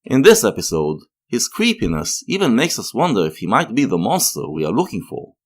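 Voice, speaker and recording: A man with a fairly low voice narrates a scripted video essay. His pitch swings widely as he explains each point in a measured, literary way, like a critic laying out an argument. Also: wildly swooping pitch.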